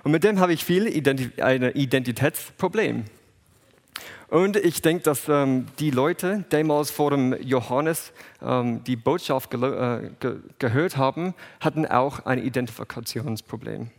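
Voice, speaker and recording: A man with an English-speaking accent reads the Bible aloud and preaches in careful, halting German. He breaks off and restarts words often. His voice carries a long reverb from a large hall, and its pitch sits in an average range. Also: creaky. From England, raised in USA. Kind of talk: bible reading and sermon.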